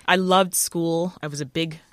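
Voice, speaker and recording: A woman from Jamaica, a new radio host, telling stories from her childhood in a relaxed, upbeat interview. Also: fairly low pitch, moderate pace, dry recording. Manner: upbeat, laughs often, warm storytelling. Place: radio studio.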